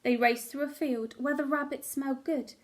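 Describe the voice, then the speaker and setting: A woman with a high voice reads a picture book aloud as a teacher would to young children. Her delivery is dramatic and expressive, shaped for small listeners. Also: normal speed. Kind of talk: expressive storybook narration.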